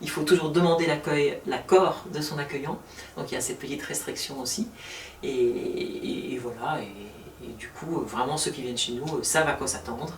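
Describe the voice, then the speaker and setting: A woman answering interview questions about her housing association, talking fast with many hesitations. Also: rumbling low, slight background noise. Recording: roomy sound from far off.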